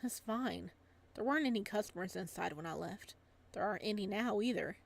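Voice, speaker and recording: A gentle fisherman from a romance visual novel, as a woman reads him. He is composed and fond, with a calm, reassuring way of talking. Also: medium pitch, fairly quick, gravelly. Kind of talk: visual novel dialogue read aloud.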